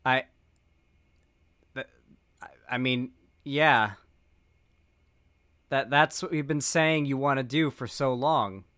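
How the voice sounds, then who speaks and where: A man's voice: mid-range voice, slow, pauses often, fairly bright tone, no room sound, clean studio sound. Rambling sarcastic man streaming commentary. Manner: rambling, many fillers and restarts, sarcastic.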